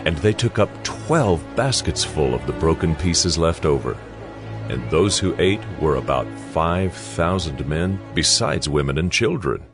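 A man with a deep voice narrates scripture as a scripted reading. Music runs clearly behind his voice. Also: lively intonation, unhurried.

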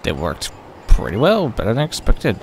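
A man's voice: medium pitch, wildly swooping pitch, moderate pace, noisy background. A young man giving casual, wry and sarcastic commentary as he plays a video game. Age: young adult. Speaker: let's play host.

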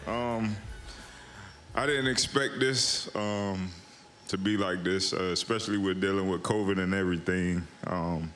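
Low-voiced guy thanking folks on stage, halting, ums everywhere, faint music behind. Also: steady tone. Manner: halting, soft-spoken, many fillers. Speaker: honoree. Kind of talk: acceptance speech. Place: outdoor stage at an evening awards event. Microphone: handheld mic.

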